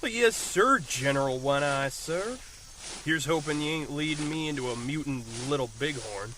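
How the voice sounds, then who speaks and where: An actor playing a hot-headed, sarcastic superhero who grumbles defiantly in an audio drama. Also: male voice, a little high, lively intonation, moderate pace, background noise, close to an everyday voice. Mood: sarcastic grumbling.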